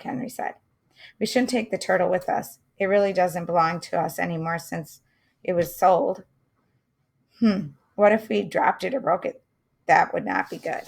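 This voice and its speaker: A woman reading a children's mystery chapter aloud, pausing to comment and wonder aloud to her listeners. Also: fairly low pitch, gravelly. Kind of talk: read-aloud storytelling with asides.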